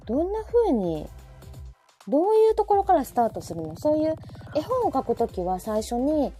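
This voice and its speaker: A woman's voice: high voice, big pitch swings, normal speed, warm tone. A woman hosting a casual chat, interviewing attentively with frequent backchannel replies and recaps. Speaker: talk show host and picture book writer. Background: background music.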